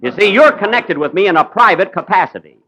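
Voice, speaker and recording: A man hosting a 1940s radio comedy show speaks in a high, bright voice. His delivery is vain and easily exasperated, and his pitch swings widely. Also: lively intonation, fast, slight background noise.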